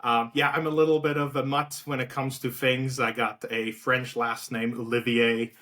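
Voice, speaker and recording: A man's voice: mid-range voice, normal speed. A man from South Africa with a light South African accent, a guest talking casually in an interview with many fillers. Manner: relaxed, many fillers and restarts. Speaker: guest, outreach director.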